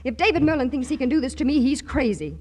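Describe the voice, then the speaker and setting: Indignant young working gal, 1940s radio comedy: high pitch, very animated, stammering protests. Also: female voice, fast talker. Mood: exasperated, determined. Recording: noisy 1940s radio broadcast recording.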